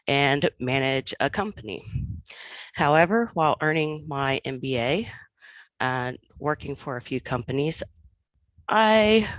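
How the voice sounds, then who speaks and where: A woman librarian, hoarse after illness, giving a prepared conference presentation about her own career path in an earnest storytelling way. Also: deep voice, no room sound. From Oklahoma.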